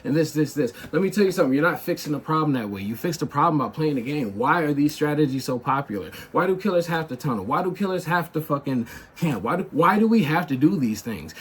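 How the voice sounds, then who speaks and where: A man streamer delivers a loud, emphatic rant full of swearing, his voice sitting a little high for a man. Clear background noise sits under the recording. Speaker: game streamer. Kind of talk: ranting commentary.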